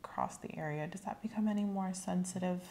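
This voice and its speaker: A young woman plays a clinical examiner in an ASMR roleplay. She gives gentle, measured instructions in a soft, creaky voice, with some room noise behind her. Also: lowish voice. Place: small room set up as an exam office.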